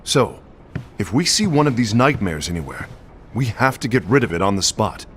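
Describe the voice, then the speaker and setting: A scornful hot-headed warrior. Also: male voice, on the low side, very animated, moderate pace, fairly bright tone, a little hiss or hum. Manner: blunt, scornful. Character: brash hot-tempered male warrior leader. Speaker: voice actor in a game cutscene. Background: game music.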